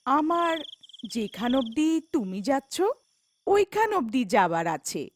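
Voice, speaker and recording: A menacing, commanding witch in a horror folktale, put on by a woman, posing as a lovely stranger. The voice is high and swooping, insistent with every demand, over clear background noise of night insects. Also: sing-song, slow pace, exaggerated voice. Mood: insistent, luring. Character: menacing female witch posing as a beautiful passenger.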